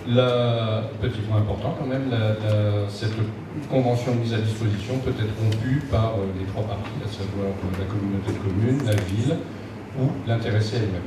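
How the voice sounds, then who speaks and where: A man formally presenting a motion as deputy mayor at a council meeting, in a halting, procedural way. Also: lowish voice. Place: large indoor council meeting room. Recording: echoey hall from far off, loud noise.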